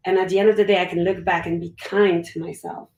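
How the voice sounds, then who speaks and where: A woman's voice: lowish voice, level tone, quick pace, darkish tone. Candid upbeat woman, echoey far-off mic. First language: French. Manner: candid, upbeat, talks in run-on thoughts. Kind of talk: casual conversation.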